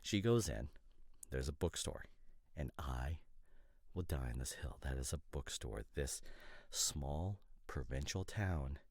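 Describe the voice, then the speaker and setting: A man playing an opinionated, nitpicking boyfriend in a casual roleplay audio drama, talking in pedantic, exasperated, halting asides. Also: a bass voice, lively intonation, a little mellow, husky, dry recording, clean studio sound, heavily acted. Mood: exasperated ranting. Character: opinionated, nitpicking boyfriend, a professional costume designer.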